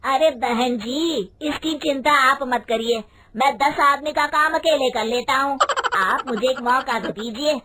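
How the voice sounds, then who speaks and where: A woman plays a small, eager lad looking for work in a storybook. His voice is high and bright. He speaks with respectful, pleading earnestness, over faint background noise. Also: measured pace. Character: small, eager, polite young male dhaba helper. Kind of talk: animated Hindi storybook voice acting.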